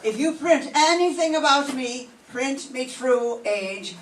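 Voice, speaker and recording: A woman's voice: high voice, animated, slow, a little hiss or hum. An older woman reading the part of a chatty widowed landlady in a radio sitcom, gossipy and motherly, recorded from far off in a roomy classroom. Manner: gossipy, motherly, calls others dearie. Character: chatty widowed landlady Mrs. O'Reilly, vain about her age. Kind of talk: 1940s radio sitcom acting.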